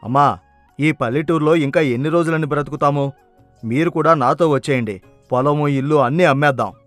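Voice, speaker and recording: A man's voice: on the high side, fairly quick, no echo, faint music behind, close to an everyday voice. A man playing a greedy, arrogant elder brother in a village moral story, with a brash, insistent manner.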